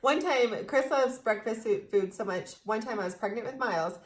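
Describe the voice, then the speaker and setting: A woman's voice: on the high side, normal speed. An American woman in her thirties chatting casually and playfully with her partner as co-host of a fan video. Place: indoors in front of a logo backdrop.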